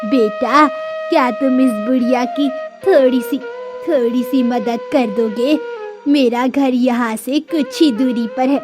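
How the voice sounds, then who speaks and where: A woman voicing a kindly, frail, lonely old village woman in a cartoon, gentle and motherly. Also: high voice, takes it slowly, over music. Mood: entreating. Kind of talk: Hindi moral-story cartoon dialogue.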